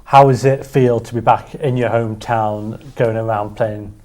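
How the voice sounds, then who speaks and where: A man's voice: fairly low pitch, fairly even intonation, moderate pace, a little mellow. A man, co-hosting a studio chat show, upbeat and encouraging with his guests. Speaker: co-host.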